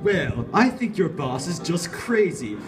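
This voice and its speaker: A man's voice: fairly high pitch, very animated, measured pace. Guy doing a formal detective who shrugs it all off, distant mic, music behind, comedy horror reading. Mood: dismissive. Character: dismissive, matter-of-fact male police detective. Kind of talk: live comic horror story reading.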